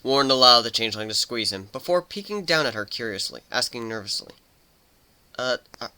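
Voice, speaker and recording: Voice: medium pitch, animated, fast talker, sharp tone, smooth. A narrator reading a scripted story in a dramatic, storytelling style. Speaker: fan fiction narrator.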